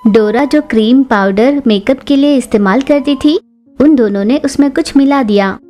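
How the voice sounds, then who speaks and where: Casting: woman storyteller for kids' cartoons, fairly high voice with a warm, dark-edged softness. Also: normal speed, faint music behind. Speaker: narrator. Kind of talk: scripted children's story narration.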